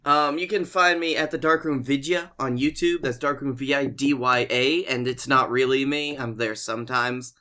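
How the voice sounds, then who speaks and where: Bright-voiced man in casual podcast chat. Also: mid-range voice, steady tone, normal speed, few pauses. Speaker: podcast co-host.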